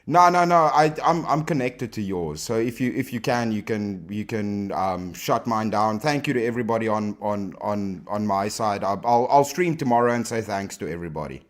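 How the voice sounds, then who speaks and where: A man's voice: lowish voice, lively intonation, moderate pace, hardly any pauses. A man who has just debated, chatting in a laid-back way and stumbling over and repeating his words. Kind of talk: casual livestream chat.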